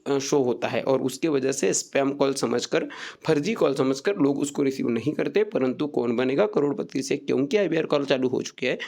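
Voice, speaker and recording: Creaky-voiced man explaining step by step. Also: fairly high pitch, a little mellow. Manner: repetitive, instructive, addresses viewers directly. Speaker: online video presenter. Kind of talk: informal step-by-step explainer to viewers.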